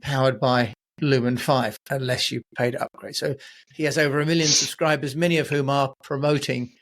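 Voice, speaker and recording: A man's voice: mid-range voice, steady tone, a little fast, fairly bright tone. A man hosting a podcast, talking conversationally with a guest. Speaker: podcast host. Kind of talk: conversational interviewing.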